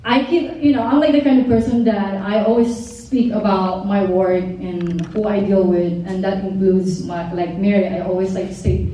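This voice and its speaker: A woman's voice: medium pitch, steady tone, moderate pace, few pauses, mellow. A woman from the Philippines with a Filipino accent, giving a rambling, heartfelt speech at a party table, full of fillers and stumbling English. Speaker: modeling agency owner giving a speech. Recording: distant mic in a very echoey room with faint noise.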